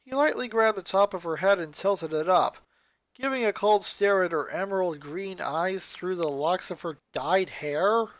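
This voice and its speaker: A man with a notably high voice reads a scripted story. He narrates dramatically, as a fan fiction reader would. The sound is dry, with very little room to it. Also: moderate pace, fairly bright tone, no echo, low-quality recording.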